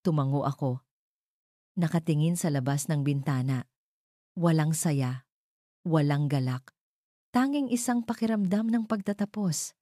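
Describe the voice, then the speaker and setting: Bright-voiced woman narrating a story. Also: sits low, lively intonation, a little slow, pauses often, dry recording, studio quality. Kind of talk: scripted first-person story narration, dramatic.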